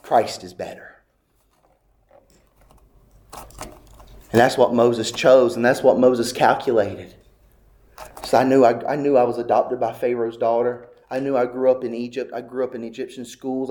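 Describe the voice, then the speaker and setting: A man's voice: mid-range voice, darkish tone. A man preaching a sermon, building urgency with repeated rhetorical questions. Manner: preaching with rising intensity, repetitive rhetorical questions. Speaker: pastor.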